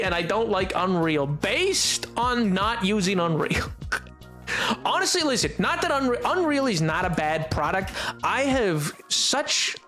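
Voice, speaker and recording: A man's voice: high voice, fairly bright tone. A man streaming and chatting casually with viewers. He talks playfully, rambling with lots of fillers and restarts. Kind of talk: casual live-stream chat, answering viewers.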